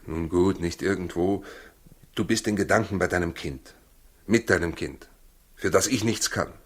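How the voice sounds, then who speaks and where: An actor in a 1960s German radio play as a proud working husband torn by doubt, husky, weary and defensive. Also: male voice, deep voice, normal speed. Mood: weary, bitter.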